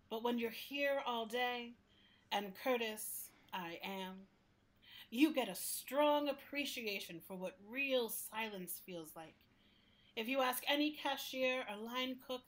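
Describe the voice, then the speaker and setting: A woman playing a talking library building in a radio play, gentle but fussy, with a confiding, exasperated manner. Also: on the high side, expressive, takes it slowly. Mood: wistful, fussy. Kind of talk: radio play acting in interview form.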